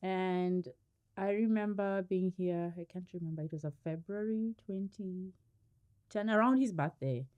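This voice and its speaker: A woman's voice: fairly low pitch, measured pace, dull audio. A middle-aged woman from Kenya, a guest in a casual interview, telling stories with frequent laughter. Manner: laughs often, animated storytelling.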